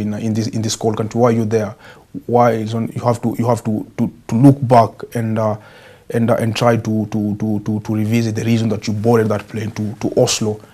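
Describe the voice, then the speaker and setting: A man's voice: on the low side, mellow. A young Kenyan man, a professional goalkeeper, answering interview questions in a hesitant way, with many repeated words and restarts. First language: Swahili.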